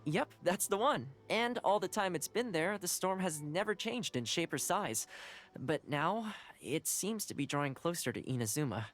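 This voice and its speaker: An actor playing a calm, reassuring young male envoy. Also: on the high side, moderate pace, soft background music. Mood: concerned. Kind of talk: game cutscene dialogue.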